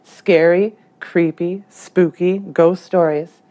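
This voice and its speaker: A woman voicing an eager young boy who excitedly rattles off big plans, in a children's picture book read aloud. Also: on the low side, takes it slowly. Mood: spooky relish.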